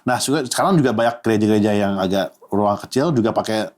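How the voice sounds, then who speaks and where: A man, co-hosting a casual chat, often pausing on fillers and mixing in English terms. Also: lowish voice, darkish tone. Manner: hesitant, many fillers, mixes English terms.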